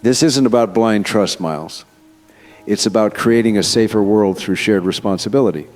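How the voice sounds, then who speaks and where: A man's voice: fairly low pitch, normal speed, a little mellow, soft background music, close to an everyday voice. A man playing a confident, earnest scientist being interviewed in an audio drama, explaining himself in an assured, persuasive way. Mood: reassuring. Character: male scientist, confident, earnest architect of AI system. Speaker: interviewed expert.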